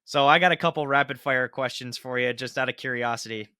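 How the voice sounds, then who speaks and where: A man's voice: medium pitch, brisk, sharp tone, clean tone, no echo, clean studio sound. An American man hosting a casual interview, asking questions and responding with a fan's enthusiasm. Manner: enthusiastic, fan-like. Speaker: interviewer, host.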